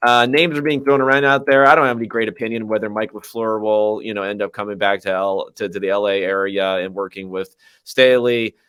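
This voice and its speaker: A man's voice: fairly low pitch, fairly even intonation, brisk, a bit bright, clean tone. A man hosting a sports podcast, chatting casually with wry, sarcastic asides. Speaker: podcast host.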